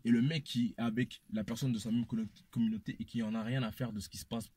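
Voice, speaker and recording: Husky-voiced man arguing his point. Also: mid-range voice, little pitch movement, fast talker, warm tone. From Congolese descent. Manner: emphatic, repeats phrases for effect. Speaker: panel speaker. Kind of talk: opinionated casual debate.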